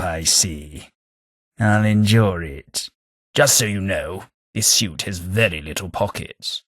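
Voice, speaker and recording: A man voicing a posh ghoul gentleman companion in a video game, dry, sardonic and fussy. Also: fairly low pitch, no room sound, heavily acted. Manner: dry, sardonic, prim understatement.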